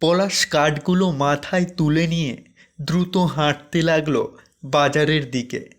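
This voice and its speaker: A man's voice: on the high side, unhurried. A man narrating a scripted fairy tale as a cartoon storyteller.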